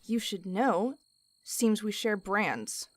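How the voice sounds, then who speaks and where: A woman's voice: mid-range voice, animated, slow pace, no echo. A woman acting in an audio drama as a tough heist crew leader who is curt, commanding and sardonic.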